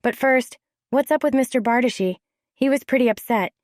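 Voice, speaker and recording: Teenage girl worker, earnest and eager, higher pitch, audio drama, voiced by an adult woman. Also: normal speed, a little mellow, lightly acted. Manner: eager, direct.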